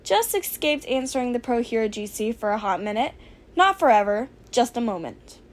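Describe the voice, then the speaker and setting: A woman's voice: a little high, sing-song, moderate pace. Woman voicing a snarky, dramatic teenage boy hacker. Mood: exasperated, sarcastic. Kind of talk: fan fiction audio reading.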